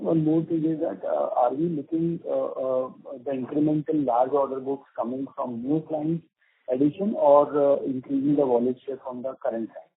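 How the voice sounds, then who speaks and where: A man's voice: medium pitch, slightly rough. A man asking polite questions as an analyst on an earnings call. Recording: tinny phone line.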